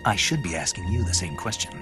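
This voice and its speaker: A man with an American accent voicing a gruff, stoic special-forces soldier in a video game, gravelly, terse and guarded. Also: sits low, expressive, fast talker. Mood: guarded, solemn. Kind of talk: video game cutscene voice acting. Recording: music behind the voice.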